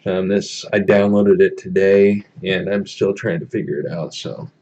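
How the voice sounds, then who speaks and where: A young man with a deep voice chatting casually on a live stream from a small room, halting with many ums as he reads the chat. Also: steady tone, warm tone, lo-fi sound, a little hiss or hum. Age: young adult.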